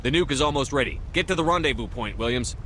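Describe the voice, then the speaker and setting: Stern military commander, middle-pitched. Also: male voice, animated, quick pace, sharp tone, a little hiss or hum. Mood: urgent, commanding. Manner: terse, commanding. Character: resolute male military commander, stern and defiant. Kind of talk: video game cinematic dialogue.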